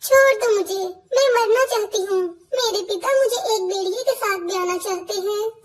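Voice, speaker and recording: A woman's voice: very high voice, moderate pace. A woman voicing a sweet, earnest young female bird in a Hindi cartoon, polite and plaintive. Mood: distressed.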